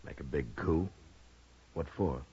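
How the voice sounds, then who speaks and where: An actor playing a steady, compassionate frontier marshal in an old time western radio drama. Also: male voice, rumbling low, slow, breathy edge, full character voice. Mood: firm. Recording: muffled old radio recording with music.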